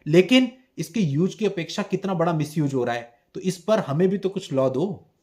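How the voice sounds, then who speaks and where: A man's voice: a little high, lively intonation, normal speed, darkish tone. A man giving explanatory commentary on a legal case, speaking emphatically with rhetorical questions and mixed-in English terms. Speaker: host of a legal issues video channel.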